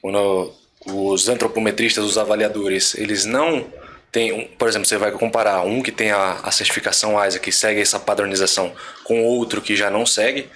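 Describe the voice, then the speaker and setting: A man's voice: lowish voice, fairly even intonation, fairly quick, a bit bright, slight background noise. A man with a Bahian Brazilian accent, explaining things casually as a podcast co-host. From Salvador, Bahia, Brazil.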